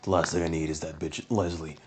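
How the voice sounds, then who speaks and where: A man playing a deep, gruff teenage tough. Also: fairly even intonation, brisk, a little hiss or hum. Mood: annoyed. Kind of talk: fan audio drama acting.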